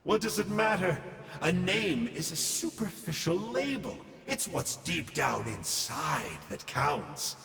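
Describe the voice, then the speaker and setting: An actor playing a smug, theatrical villain scholar in a video game, with a teasing, mock-dramatic drawl. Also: male voice, sits high, very animated, takes it slowly, creaky, reverberant, big-room sound, a crowd in the background, full character voice.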